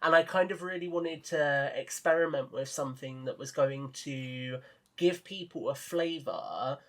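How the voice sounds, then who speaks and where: A man's voice: on the high side, measured pace. A man talking as a podcast guest, explaining his ideas earnestly and with enthusiasm in casual conversation. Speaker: podcast guest and audio drama creator.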